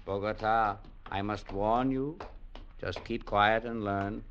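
An actor playing a stern, imperious blind villager, declaiming in mid-century radio drama style. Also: male voice, on the low side, fairly even intonation, slow pace, a bit bright, highs cut off. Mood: stern, scolding. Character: stern, commanding blind villager, scornful of sight. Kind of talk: 1940s-50s radio drama acting. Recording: old radio drama broadcast.

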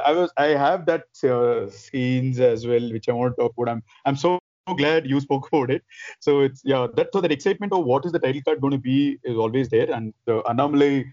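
A man chatting as a podcast host, loud and excited, rambling with restarts. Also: mid-range voice, no echo, dull audio. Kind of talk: casual chat.

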